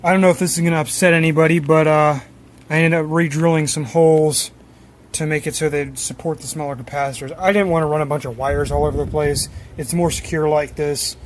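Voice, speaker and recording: A man's voice: fairly high pitch, a little fast. A man giving casual hands-on repair commentary in an informal, self-deprecating way. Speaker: hobbyist electronics repairer. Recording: clear steady background noise.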